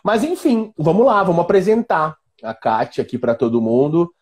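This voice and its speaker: A man with a southern Brazilian accent from Rio Grande do Sul, hosting a casual, joking chat in an informal, teasing, rambling way, with a husky voice. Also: fairly high pitch, very animated, normal speed. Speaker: live-stream host; physiology and biomechanics professor.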